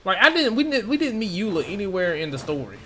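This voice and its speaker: A man's voice: high-pitched, big pitch swings, quick pace, pushed and bright, slight background noise. A man giving casual gameplay commentary, slangy and profane. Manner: slangy, profane, reacts to the characters. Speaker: video game streamer. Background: game music.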